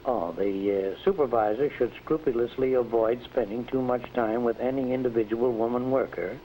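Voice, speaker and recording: An American man acting as a patient, patronizing factory manager in a 1940s instructional film, speaking in a measured, didactic way with hesitant uhs. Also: medium pitch, warm tone, a little hiss or hum, close to an everyday voice, rough recording. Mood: avuncular, lecturing. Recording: vintage 1940s film soundtrack, music.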